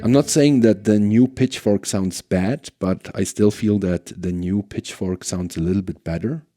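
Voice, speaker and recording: A man with a fairly low pitch and a soft, dark tone presents a product comparison. He speaks casually and conversationally, as if working out his opinion aloud. Faint guitar music sits in the background. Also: unhurried. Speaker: gear reviewer and presenter. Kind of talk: casual product demo commentary.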